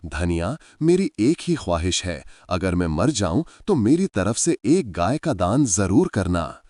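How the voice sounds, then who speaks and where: A man voicing a poor aging farmer, gentle and soft-spoken. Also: deep voice, big pitch swings, a little slow, no room sound, studio quality, heavily acted. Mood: resigned. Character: poor aging male farmer, patient and resigned.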